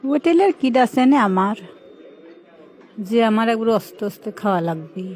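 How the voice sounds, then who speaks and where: A woman's voice: on the high side, very animated, normal speed, darkish tone. A woman with a Pabna accent from Bangladesh voicing a greedy, bossy, nagging wife in a comic cartoon dub. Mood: demanding. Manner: nagging, blunt. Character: demanding, greedy, bossy wife who loves eating. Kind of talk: comic cartoon dubbing in dialect.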